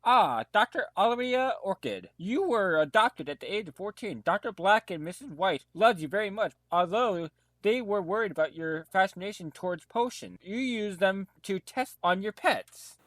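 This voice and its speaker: A man's voice: high-pitched, expressive, normal speed, hardly any pauses, a bit bright, dry recording. A man playing a methodical police detective in an amateur audio drama, addressing suspects in a formal, declamatory way. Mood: accusing.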